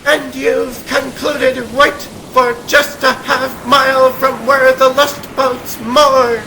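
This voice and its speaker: A man's voice: extremely high pitch, little pitch movement, slow pace, sharp tone, full character voice, poor audio. A man voicing an arch, formal comic book narrator addressing the readers, with noise behind. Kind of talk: scripted narration.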